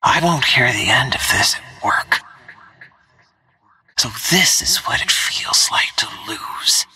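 Video game assassin, female: cold, controlled menace, breathy and husky with a razor-sharp edge, devoted to the kill. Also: rumbling low, animated, takes it slowly, full character voice. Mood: bleak. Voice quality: breathy, hissing whisper. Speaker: video game character voice actor. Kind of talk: video game combat voice lines.

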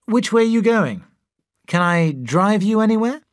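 A narrator voicing an ambitious young doctor in an audiobook, brisk and self-satisfied. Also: medium pitch, sing-song, no room sound, studio quality, voice pushed up. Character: ambitious young male doctor, proud and impatient. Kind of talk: audiobook character voice.

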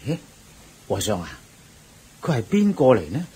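A man's voice: medium pitch, wildly swooping pitch, normal speed, warm tone, a little hiss or hum. An actor playing a polite, easily impressed man in a radio drama, courteous and given to exclaiming. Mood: amazed, curious.

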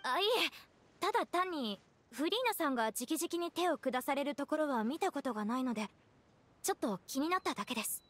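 An actress playing a sensible, slightly teasing young troupe member in a Japanese anime game, composed and matter-of-fact. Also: female voice, high-pitched, animated, a little fast, a bit bright, a little hiss or hum. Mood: curious. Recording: music bed behind voice.

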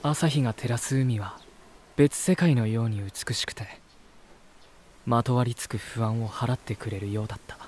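A man's voice: medium pitch, normal speed, darkish tone, slight background noise, natural voice. An actor playing an earnest, peace-loving, uneasy man, polite and reflective, in a voice drama. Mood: pensive narration. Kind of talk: voice drama dialogue and inner monologue.

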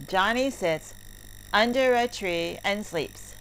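A woman reading a children's play script aloud as narrator, deliberately and word by word. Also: mid-range voice, fairly bright tone. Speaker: story narrator for children's English lessons. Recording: clearly noisy recording with clicks.

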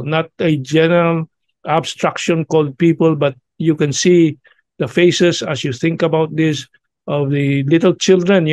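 An older man with a Filipino accent hosting news commentary, halting and opinionated, reading articles aloud with his own asides. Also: fairly high pitch, a little mellow, no room sound. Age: 60s or older. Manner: halting, opinionated, with frequent pauses. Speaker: host of an online news commentary show.

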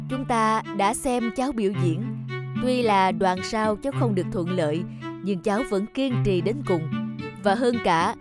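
A woman voicing a stern but kindly middle-aged male judge with a measured, lecturing manner in a children's cartoon. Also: medium pitch, lightly acted. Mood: encouraging.

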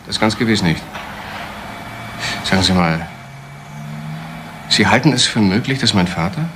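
A man's voice: on the low side, lively intonation, measured pace, noisy background. A male actor playing a bitter, sardonic adult son in a German crime radio play, mocking and insinuating. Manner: mocking, insinuating, with rhetorical questions.

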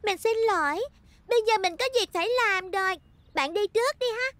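A woman voicing a prim, quick-to-accuse little cartoon schoolgirl. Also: very high voice, a bit bright. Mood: modest, polite. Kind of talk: cartoon dubbing.

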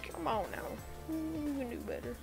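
Chatty girl doing a pc tutorial voiceover, kinda sick sounding, higher voice. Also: moderate pace, vocal fry, music in the background. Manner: chatty, easygoing, talks straight to viewers. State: sick, voice a bit off. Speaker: YouTube tutorial creator. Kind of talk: casual screen-recorded tutorial voice-over.

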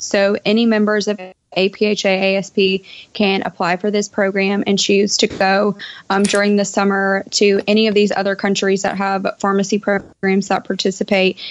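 A woman in her thirties explains things as an interview guest, keeping her intonation very even. The sound is dry and a little lo-fi, as from an internet call. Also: medium pitch, dry recording. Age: 30s. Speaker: podcast guest, pharmacist. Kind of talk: conversational interview answers. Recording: slightly dull online-call audio.

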